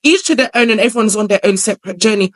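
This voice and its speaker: A man's voice: high-pitched, lively intonation, very fast. A young man with a feminine voice, talking at length as an interview guest in a casual, rambling way. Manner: chatty, rambling, explains at length with filler phrases.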